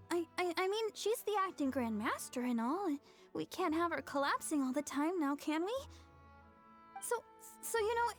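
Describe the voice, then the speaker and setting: An actress playing a sweet, earnest and devoted young woman in an anime-style video game, speaking gently. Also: very high-pitched, heavily acted. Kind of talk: English anime game voice acting.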